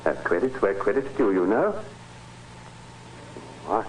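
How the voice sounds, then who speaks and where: A man's voice: on the low side, animated, a little slow, poor audio. An actor playing a courteous, hesitant, well-spoken English clergyman in an old-time radio drama. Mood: genial, courteous. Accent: educated English. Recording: hissy vintage radio recording.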